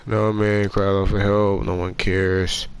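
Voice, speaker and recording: A man with a nasal voice, stuffed up from a cold, gives running commentary while playing a game. His voice sits fairly low, and he swears freely and mocks the game's characters. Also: little pitch movement, slow pace, slight background noise. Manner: profane, trash-talks the game characters. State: sick with a cold. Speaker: gaming streamer. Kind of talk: let's play commentary.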